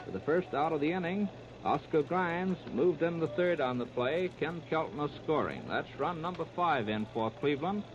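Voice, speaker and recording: A man's voice: a little high, lively intonation, normal speed. A man calling baseball play-by-play for 1930s radio, narrating in clipped, present-tense phrases. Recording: scratchy, noisy, muffled 1930s radio recording.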